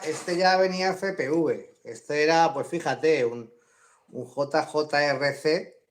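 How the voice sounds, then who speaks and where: Chatty Madrid man on a video call. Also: medium pitch. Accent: Spanish from Madrid. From Madrid, Spain. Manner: chatty, laughs often, animated. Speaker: guest drone hobbyist. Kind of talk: casual live-stream interview.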